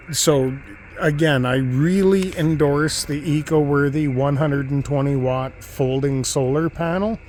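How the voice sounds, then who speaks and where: A man giving an unscripted gear review in a measured, halting way with deliberate pauses. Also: on the high side, a little hiss or hum. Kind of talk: unscripted product review talk.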